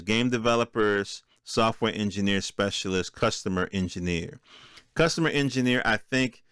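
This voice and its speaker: A man's voice: low voice, fairly bright tone, clear, resonant tone, no room sound, studio quality. A middle-aged American man hosting a podcast solo, giving informal commentary with offhand asides and checking in with listeners. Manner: conversational, checks in with listeners using rhetorical questions. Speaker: podcast host.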